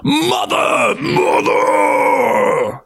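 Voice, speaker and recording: A man's voice: very high voice, huge pitch swings, extremely slow, vocal fry, voice pushed up, exaggerated voice. Man doing a wailing, angry blizzard spirit. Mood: wailing, defeated. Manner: shouting, hissing, wailing.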